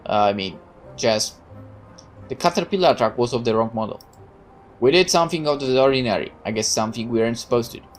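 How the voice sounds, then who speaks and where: Naive young soldier boy, low and hesitant, read by a woman. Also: animated, fast, soft background music. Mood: earnest. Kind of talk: reading visual novel dialogue aloud.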